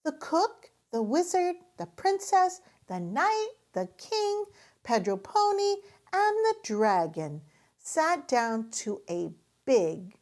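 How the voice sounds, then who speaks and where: High-pitched woman playing a little piglet girl, eager, bossy, imaginative, lively swoops, unhurried storybook delivery. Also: full character voice. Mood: insistent, excited.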